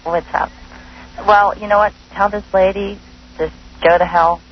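A woman's voice: medium pitch, steady tone, measured pace, a little hiss or hum. A woman calling in to a radio show, cheeky and playful. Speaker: caller. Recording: muffled broadcast recording.

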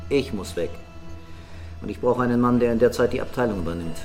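A man's voice: medium pitch, a little fast, faint music behind, natural voice. An actor playing a brooding, sceptical male police chief, terse and probing, in a crime radio drama. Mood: resolute.

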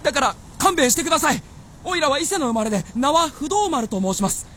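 A high-voiced, excitable boy hunter. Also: quick pace, a little hiss or hum. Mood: earnest. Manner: blustering, stammering, boyish rustic speech. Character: hot-headed, naive big boy hunter, excitable and earnest. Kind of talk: radio drama acting.

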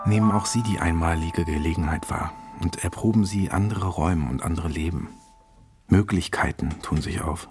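A man's voice: deep voice, normal speed. A man delivering a scripted announcement. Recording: music behind the voice.

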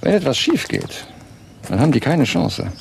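An actor playing a genial but sceptical space programme doctor in a radio drama, with a creaky voice and an animated manner. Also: male voice, medium pitch, moderate pace, background noise, full character voice. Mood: reflective. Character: genial, sceptical male doctor, former space project leader. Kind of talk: German radio drama acting.

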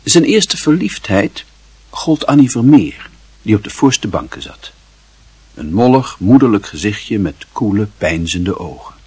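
A man reading scripted literary narration for a radio play in a measured storytelling manner. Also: lowish voice, very animated, darkish tone, slightly rough, no echo.

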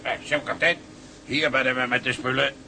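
An actor playing a cheery, coaxing ship's cook and fixer in a radio drama, talking colloquially. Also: male voice, mid-range voice, flat delivery, fairly quick, creaky, pushed and bright, slight background noise. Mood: salesmanlike, jovial.

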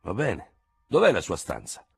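Brief: man doing a flat, dry old army surgeon, husky and raised. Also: sits low, moderate pace, pitched above the performer's own voice, heavily acted. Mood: dry, questioning. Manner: flat, dull, repeats his favorite word.

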